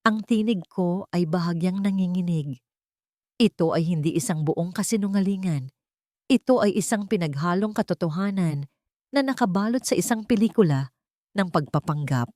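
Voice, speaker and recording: Low-pitched woman narrating a drama. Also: moderate pace, mellow, no echo, clean studio sound. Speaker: story narrator. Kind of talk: scripted first-person dramatic narration.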